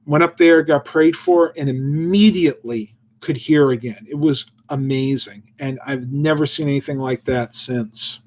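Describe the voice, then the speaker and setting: A man's voice: medium pitch, unhurried, few pauses, a little mellow, slight background noise. A man hosting a livestream, reading Bible verses aloud and then musing loosely about them with fillers, and answering viewers' questions. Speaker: livestream host. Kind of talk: casual devotional livestream chat.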